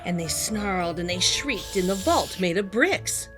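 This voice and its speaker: A woman reading a children's picture book aloud in an animated, sing-song storytelling manner. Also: lowish voice, measured pace. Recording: music playing behind the voice. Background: music.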